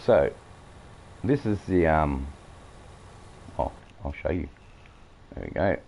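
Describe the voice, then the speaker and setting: Very deep, gravelly Australian man. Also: lively intonation, a little mellow, slight background noise, poor audio. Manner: rambling, halting, many fillers. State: croaky from coughing. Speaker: gameplay streamer. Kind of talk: casual farming-game commentary.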